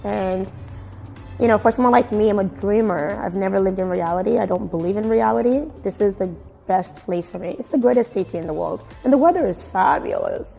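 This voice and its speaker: Nigerian woman, creaky voice, echoey recording. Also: mid-range voice, brisk. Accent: Nigerian mixed with British and American. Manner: expressive, emphatic. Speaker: fashion blogger being interviewed. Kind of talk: casual interview answers. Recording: very echoey room with music. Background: music.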